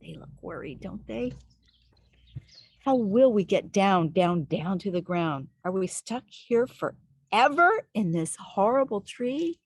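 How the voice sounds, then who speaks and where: A woman reading a picture book aloud to young children outdoors, expressively, pausing to put questions to the listener. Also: medium pitch, animated, breathy edge, dry recording. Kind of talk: animated read-aloud storytelling for young children. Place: outdoors in a backyard with trees.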